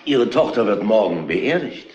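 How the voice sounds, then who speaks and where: Police inspector, hesitant probing questions, animated pitch, roomy vintage crime radio play. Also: male voice, normal speed, lo-fi sound. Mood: subdued, questioning. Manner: probing, hesitant filler-laden questioning, feigned casualness. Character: grumbling, probing male police inspector, middle-aged or older. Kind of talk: German radio crime drama acting. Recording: roomy, noisy old radio play recording.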